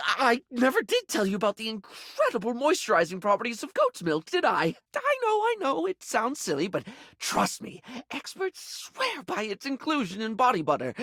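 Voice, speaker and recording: Camp game character, living makeup kit, hugely animated pitch, effusive, rambling, insecure, dry close recording. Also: male voice, dry recording, exaggerated voice. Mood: flirty, bubbly. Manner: effusive, camp, gushing, rambling, calls people darling. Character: flamboyant, chatty, insecure male living makeup kit, eager to please. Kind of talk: video game character voice acting.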